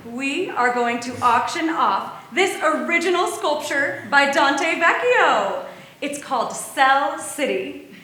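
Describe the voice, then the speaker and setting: A woman's voice: high voice, sharp tone, slight background noise, full character voice. An actress playing a harried woman who runs a food festival, hesitant and full of fillers, in a staged reading. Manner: flustered, hesitant with many fillers. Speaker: festival organizer. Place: theater stage. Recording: roomy live stage sound.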